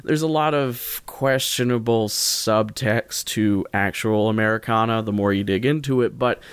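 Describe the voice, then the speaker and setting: A man's voice: lowish voice, moderate pace, clean tone, dry recording. A man talking casually as a podcast host, rambling and correcting himself in an informal, jokey way. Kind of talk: casual solo podcast talk, recommending.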